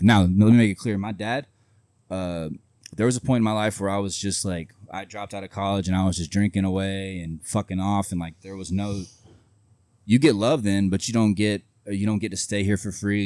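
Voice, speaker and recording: A man with a very low voice talks bluntly and swears often, drifting through his thoughts with plenty of fillers. The sound is dry and close, with no room around it. He sounds like a guest in a loose, casual interview. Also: warm tone, dry recording. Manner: blunt, profane, rambling with many fillers. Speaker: independent wrestler, guest.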